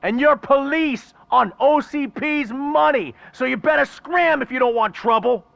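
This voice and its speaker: A man's voice: very high-pitched, unhurried, gravelly, a bit bright, dry recording, dull audio, exaggerated voice. A man voicing a cocky, foul-mouthed street thug in a video game, taunting and blustering in slangy talk. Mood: cocky threat.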